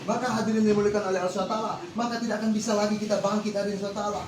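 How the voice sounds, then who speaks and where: A man's voice: sits high, little pitch movement, racing, crowd noise. A man preaching a fervent, rhythmic sermon to a congregation, repeating set phrases. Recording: echoey hall recorded from far off.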